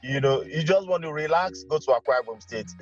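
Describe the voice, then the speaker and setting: A man's voice: fairly high pitch, expressive, fairly quick. Nigerian man, dull lo-fi sound over music. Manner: emphatic, uses repetition for effect. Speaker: interview guest, loudspeaker engineer and entrepreneur. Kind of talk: conversational interview storytelling. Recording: dull lo-fi audio with music behind. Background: background music.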